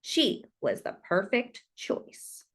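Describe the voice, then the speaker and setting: A woman reading a children's picture book aloud expressively, with dramatic, deliberate phrasing and drawn-out emphasis.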